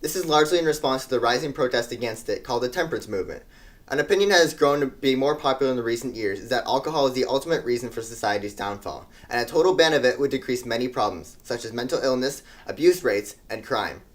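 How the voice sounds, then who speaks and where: A man's voice: mid-range voice, animated, fast talker, close to an everyday voice. Man acting as an earnest 1920s news anchor. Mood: earnest, formal. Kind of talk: scripted news report.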